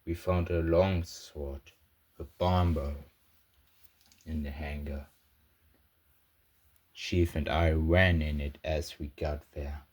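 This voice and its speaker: A grim, battle-shaken soldier played by a man records a sci-fi audio log in an extremely deep, husky voice. He speaks very slowly and haltingly, in short broken phrases with heavy pauses between them. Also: fairly even intonation, a little mellow, full character voice. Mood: grim, tense. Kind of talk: scripted first-person audio log narration, amateur sci-fi roleplay.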